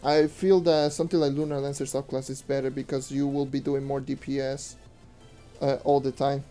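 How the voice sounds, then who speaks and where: Young male streamer, hoarse, rambling casual explainer; dark, mellow timbre over faint background music. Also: mid-range voice, level tone, normal speed. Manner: rambling, loose with fillers, mutters to himself. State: hoarse, losing his voice. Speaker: game streamer. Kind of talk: casual gameplay commentary and Q&A.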